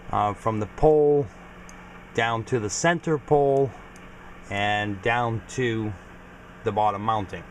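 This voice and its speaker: A man's voice: medium pitch, a little hiss or hum. A man giving a casual, informal equipment walkthrough as a hobbyist video host, explaining things plainly. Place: home garage.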